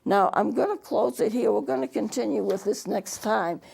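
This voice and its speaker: A woman's voice: mid-range voice, moderate pace, creaky, darkish tone, slightly rough. A woman teaching a televised music lesson, explaining terms patiently and spelling them out letter by letter, her voice throaty as she is losing it. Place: small TV studio.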